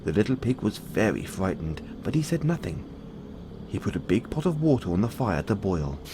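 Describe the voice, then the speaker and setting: A man reading bedtime fairy tales as an audio roleplay, in measured storybook narration. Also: lowish voice, sing-song, noisy background.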